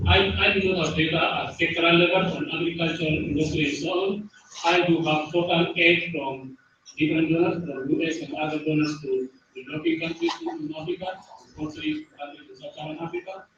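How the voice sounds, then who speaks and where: A man's voice: a little high, expressive, brisk, noisy background. A man presenting economic research and answering a question at an academic conference. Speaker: panel speaker, economist. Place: university conference room. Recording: echoey hall, distant, dull sound.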